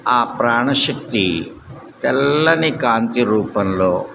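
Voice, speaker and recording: Very slow-speaking man guiding meditation over noise. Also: medium pitch. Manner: calm, deliberate, with long pauses. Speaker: yoga nidra instructor. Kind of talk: guided meditation instruction. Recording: clear steady background noise.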